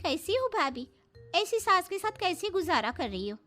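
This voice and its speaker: Woman doing chatty neighbour lady in cartoon moral story, frank n gossipy, high bright voice, really pushes her words. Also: sing-song, moderate pace, faint music behind, exaggerated voice. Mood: sympathetic, coaxing. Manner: gossipy, coaxing, emphatic.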